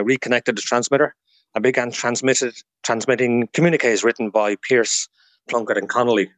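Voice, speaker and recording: A man's voice: medium pitch, fairly bright tone, dry recording. An Irish man speaking as an expert guest, telling history informally in an interview. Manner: informative, storytelling. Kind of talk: informal explanatory interview talk.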